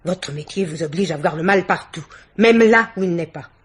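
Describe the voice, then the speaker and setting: An actress playing a dignified, guarded middle-aged guardian aunt in a French radio mystery play, speaking with polite haughtiness. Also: female voice, on the low side, normal speed, husky. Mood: guarded, offended. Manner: polite, haughty, carefully articulated indignation.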